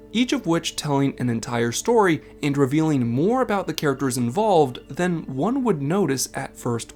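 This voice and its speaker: A man delivering scripted narration as the host of a video essay. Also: mid-range voice. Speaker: video essay narrator and game content creator.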